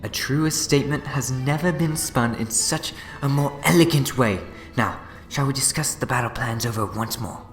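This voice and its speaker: An actor playing a gleefully smug, scheming time-travelling villain in a fan audio drama, theatrical, wheedling and gloating. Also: male voice, medium pitch, moderate pace, few pauses, close to an everyday voice. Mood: mock-jovial. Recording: echoey long reverb, music behind.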